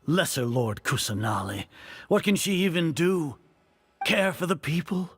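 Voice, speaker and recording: An actor playing a pompous, condescending older sage and villain in a video game, speaking in grand, formal, disdainful phrases. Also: male voice, fairly high pitch, sing-song, unhurried, husky, no room sound, heavily acted. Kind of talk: theatrical video game voice acting.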